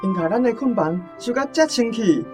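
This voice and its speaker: A man's voice: extremely high pitch, expressive, moderate pace, soft background music. An actor with a Taiwanese accent playing a naive teenage apprentice boy in a radio drama. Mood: amazed.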